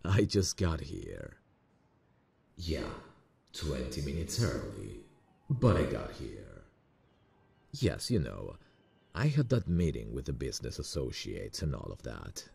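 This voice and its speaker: A man acting a smitten, nervous boss in a romantic ASMR roleplay, speaking intimately and a little hesitantly with a gravelly, husky voice. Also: very deep voice, darkish tone, heavily acted. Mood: warm, eager. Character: smitten, nervous male boss on a work trip.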